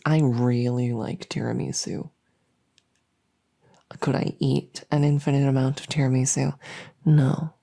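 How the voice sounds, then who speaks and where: A woman playing a friendly, silly monster under the bed in an ASMR roleplay, rambling playfully and softly. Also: deep voice, gravelly, heavily acted. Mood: chatty, playful. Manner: rambling, playful, soft-spoken.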